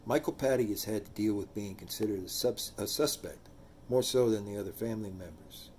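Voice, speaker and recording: A man's voice: lowish voice, brisk, a little mellow, slight background noise. A man hosting a true crime channel, talking through a case and reading quotes aloud, his voice trailing off at line ends.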